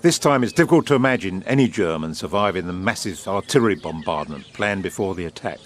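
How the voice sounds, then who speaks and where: A man acts a polished British government propagandist in a period audio drama. His voice is low, his phrasing grand, measured and self-assured. Birdsong and background noise sit behind him. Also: lively intonation. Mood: brisk, confident. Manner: urbane, eloquent, measured, grandiloquent. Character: suave, confident British wartime propaganda official.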